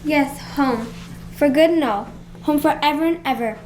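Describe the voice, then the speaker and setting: A woman playing an affectionate, excited little girl in a radio play. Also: high voice, normal speed, slight background noise, natural voice, low-quality recording. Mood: joyful. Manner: bright-eyed, excited, childlike delivery. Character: eager, affectionate little girl, young sister. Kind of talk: stage-style radio play acting.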